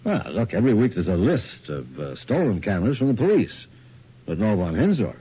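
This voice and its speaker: Guarded shop dealer, low husky voice, lively intonation, hesitant, radio drama, dull recording. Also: male voice, brisk, full character voice. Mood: puzzled, wary. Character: wary, evasive male camera shop dealer. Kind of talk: 1980s radio drama acting.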